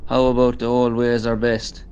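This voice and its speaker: Man acting a flat, grumbling countryman. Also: mid-range voice, normal speed, smooth, natural voice. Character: grumbling, traditional-minded rural man. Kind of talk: amateur radio drama acting. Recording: slightly dull, lo-fi sound.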